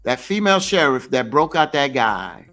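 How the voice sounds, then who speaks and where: A man's voice: mid-range voice, sing-song, moderate pace, pushed and bright. A man in a talk show debate, emphatic and questioning as he argues. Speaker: show regular. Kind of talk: casual debate chat.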